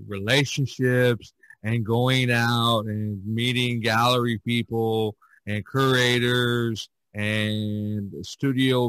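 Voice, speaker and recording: A man's voice: mid-range voice, a bit bright, dry recording. A man hosting a casual podcast discussion, telling his story in a rambling, emphatic way. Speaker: podcast host and artist.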